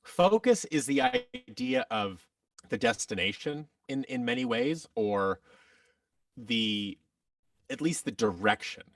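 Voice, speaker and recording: A man telling his story casually as a podcast guest, reflective and halting, often acting out bits of quoted dialogue. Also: mid-range voice, sing-song, a bit bright, no echo, studio quality, distant mic. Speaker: podcast guest, actor and audio drama producer.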